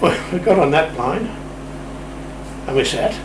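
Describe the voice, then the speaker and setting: A man's voice: a little high, lively intonation, a little slow. An older Australian man telling a casual anecdote as an interview guest, in a wry, playful, understated way. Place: radio shack room. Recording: roomy, distant mic, clear background noise.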